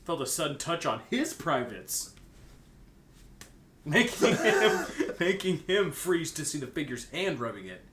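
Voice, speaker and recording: A man's voice: very animated, unhurried, a bit bright. A young man reading fan fiction aloud for laughs, mixing casual banter with hammy delivery and stumbling over words. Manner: giggly, stumbles over words, hams up quotes. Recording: echoey room with faint rumbling noise.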